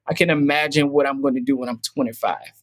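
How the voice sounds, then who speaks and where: A man's voice: mid-range voice, steady tone, fast talker, no echo. Young male guest in his twenties; sincere, searching delivery, dry sound. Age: 20s. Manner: earnest, reflective, with frequent fillers and restarts. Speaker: podcast guest. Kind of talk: casual interview conversation.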